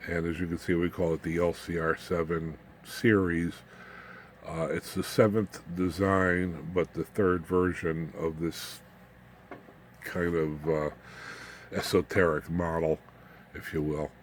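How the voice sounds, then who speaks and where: A man with a deep voice talks through a hands-on demonstration of his homemade equipment. His delivery is informal and halting, with muttered asides to himself as he works. There is faint noise and room ambience behind him. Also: level tone. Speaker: hobbyist radio builder demonstrating his equipment.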